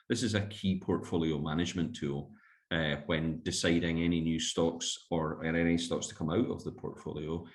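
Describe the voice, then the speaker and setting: A man explaining investment concepts to investors in a webinar, with fillers and restarts as he goes. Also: deep voice. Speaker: fund manager presenting a webinar.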